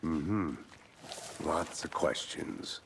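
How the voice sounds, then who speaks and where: An actor playing a dry, skeptical veteran FBI agent in a video game, gravelly and deadpan, sparing with words. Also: male voice, very deep voice, extremely slow, slight background noise, exaggerated voice. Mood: skeptical, wry. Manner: deadpan, laconic. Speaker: detective partner character.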